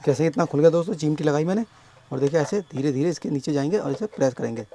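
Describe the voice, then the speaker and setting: A man with a sore, hoarse throat explaining a speaker repair step by step at his workbench, often addressing viewers as friends. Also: a little high, dark tone, slight background noise. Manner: explains slowly, keeps calling viewers friends. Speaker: electronics repair technician.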